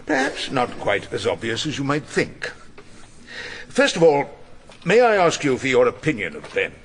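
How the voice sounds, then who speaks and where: An actor playing a wry, irascible veteran English barrister, narrating and questioning witnesses in a dry, theatrical radio drama manner. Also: male voice, medium pitch, huge pitch swings, normal speed, a bit bright, a little hiss or hum. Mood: probing.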